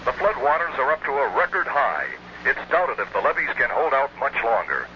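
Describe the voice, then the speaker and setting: A man's voice: medium pitch, very animated, brisk. A man reporting dramatically in a vintage radio news style. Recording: muffled, slightly noisy old radio broadcast.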